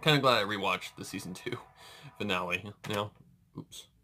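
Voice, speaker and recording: A man giving casual, off-the-cuff reaction commentary in halting asides. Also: fairly low pitch, sharp tone, a little hiss or hum. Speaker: reaction video host.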